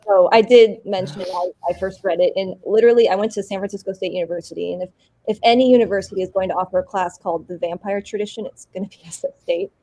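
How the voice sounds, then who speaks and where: A bubbly woman speaks in a relaxed interview. She laughs easily and talks in quick, excited bursts at a middle pitch. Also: rough recording. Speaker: actor. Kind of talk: casual interview chat.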